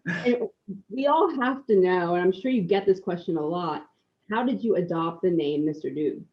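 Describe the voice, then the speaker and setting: Polite woman interviewer, lower voice. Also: measured pace, lo-fi sound. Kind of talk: red carpet interview.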